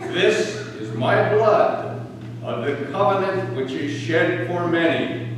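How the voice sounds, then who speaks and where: A solemn teacher, Jesus in a scripture drama, played by a man, speaks in a fairly high male voice with slow, declamatory weight. The sound rings around a church hall, picked up from a distance. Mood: solemn blessing. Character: Jesus, solemn male religious teacher foretelling his betrayal. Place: large church during a service. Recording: very echoey church hall, distant mic, noisy.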